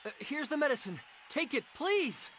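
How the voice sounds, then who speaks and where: An actor playing an earnest, modest young adventurer in a fantasy game, hesitant and self-effacing. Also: male voice, extremely high pitch, very animated, moderate pace, fairly bright tone, full character voice. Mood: flustered. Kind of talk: anime-style fantasy game dialogue. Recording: clear game ambience noise behind.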